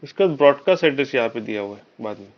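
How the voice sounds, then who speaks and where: Man, Indian accent, tech instructor, explanatory lecture, English terms mixed in, average pitch, dull lo-fi recording. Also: animated, fast. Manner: explanatory, with frequent check-in tags; mixes English technical terms into speech. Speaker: networking instructor. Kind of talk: live online technical lecture. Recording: dull, lo-fi online class audio.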